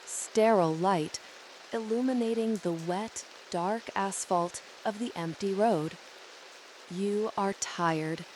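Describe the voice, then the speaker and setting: Woman doing slow sleepy guided meditation, soothing n measured, bit of rainy hiss behind her. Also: medium pitch, darkish tone, rough recording. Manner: soothing, measured, deliberate phrasing. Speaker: narrator of sleep visualizations.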